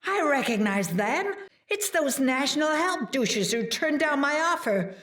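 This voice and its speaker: A woman playing a hesitant, spiteful corporate villain in an audio play, speaking in a halting, snide way. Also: high voice, sing-song, fairly bright tone, exaggerated voice. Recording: echoey, distant from the mic.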